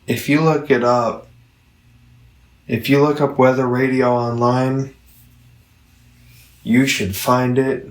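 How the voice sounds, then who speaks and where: A man giving a casual, rambling product review, halting and correcting himself as he thinks aloud. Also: medium pitch, flat delivery. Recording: roomy, echoing, distant from the mic.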